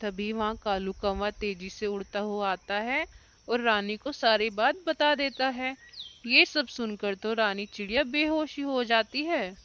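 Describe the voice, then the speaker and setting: Slow, high-voiced woman storyteller. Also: sharp tone, clean tone. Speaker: narrator. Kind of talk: scripted children's story narration.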